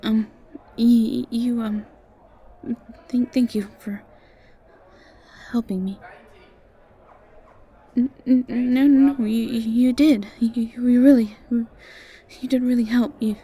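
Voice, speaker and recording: Shy nervous student girl done by a woman, stutters a lot, sweet n apologetic, slowish, highish mellow voice, audio rp. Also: steady tone, breathy edge. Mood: flustered gratitude. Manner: heavy nervous stammering, halting and apologetic. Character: shy, sweet, anxious young female academy student. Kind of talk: audio roleplay acting, addressed to the listener.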